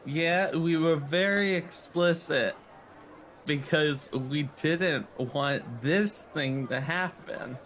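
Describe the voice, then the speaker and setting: Higher-pitched male voice, slow pace, slangy and sweary, tabletop game player, improvised dialogue. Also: fairly bright tone, slight background noise, poor audio. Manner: casual slangy speech, swearing. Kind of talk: improvised in-character chat.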